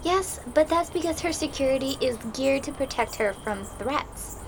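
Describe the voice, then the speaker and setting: A woman's voice: high voice, expressive, moderate pace, slight background noise. A young woman playing a scheming, bossy student rebel leader in an audio drama. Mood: commanding.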